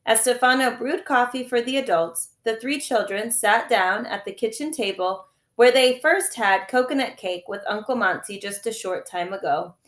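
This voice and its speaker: A woman's voice: a little high, normal speed, hardly any pauses, a bit bright. A woman narrating a children's storybook as a teacher reading aloud. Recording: echoey room.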